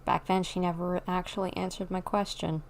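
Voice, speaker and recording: A woman livestreaming a game, commenting and reading dialogue aloud, excitable and cheering herself on. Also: lowish voice, a little fast, vocal fry, a little hiss or hum, poor audio. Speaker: game streamer.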